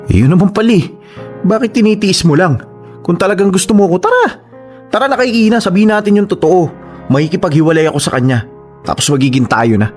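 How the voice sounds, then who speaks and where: A man's voice: sits high, animated, fast talker, a little mellow. An actor playing a lovesick, insistent young man in a Filipino radio drama, pleading and teasing in a dramatic way. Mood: pleading, frustrated. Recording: music under the voice.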